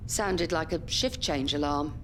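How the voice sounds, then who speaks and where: An actress playing a suspicious, excitable elderly care home resident in an audio drama. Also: female voice, low voice, unhurried, very noisy. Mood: uneasy. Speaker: voice actor.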